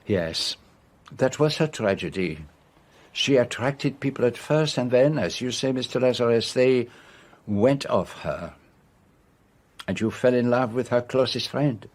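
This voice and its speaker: An actor playing a self-assured foreign detective, speaking deliberately and formally as he explains a case in a radio drama. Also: mid-range voice, expressive, a little mellow, lightly acted. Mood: grave, probing. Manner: deliberate, theatrical, formal phrasing with French forms of address.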